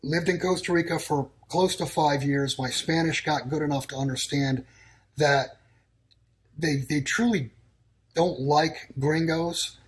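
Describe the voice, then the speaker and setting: A man's voice: fairly high pitch, steady tone, measured pace. A man speaking as a guest on a talk show, giving blunt, declarative warnings in short, clipped sentences. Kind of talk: casual talk-show answers. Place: in Texas.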